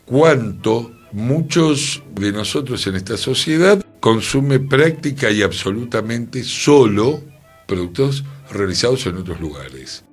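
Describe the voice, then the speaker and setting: A man with a Buenos Aires accent talking reflectively on a radio culture show, deliberate and opinionated. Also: mid-range voice, animated, slightly rough, faint music behind. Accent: Buenos Aires Argentine.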